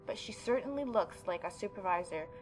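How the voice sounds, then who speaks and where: A woman's voice: medium pitch, lively intonation, brisk, poor audio. A young woman with a mid-range voice hosts a casual gaming playthrough. She moves between reading aloud and informal, offhand commentary, with faint music behind her. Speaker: gaming YouTuber.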